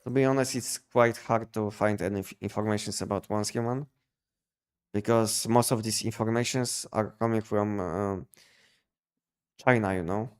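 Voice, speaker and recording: A man chatting casually on a game livestream, explaining things in a halting, repetitive way. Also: fairly low pitch, steady tone, clear, resonant tone. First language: not English. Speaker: game live streamer.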